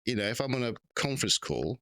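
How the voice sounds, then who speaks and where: Man with a lively, bright voice. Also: mid-range voice. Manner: halting, pauses and repeats words. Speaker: podcast host. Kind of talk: casual conversation.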